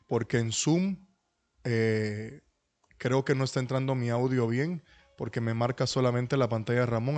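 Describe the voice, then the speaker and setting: A middle-aged man, speaking as a pastor in a humble, courteous and deliberate way to an online class. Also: mid-range voice. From Dominican Republic and New York. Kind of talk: introductory talk at an online church leadership class. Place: online video call.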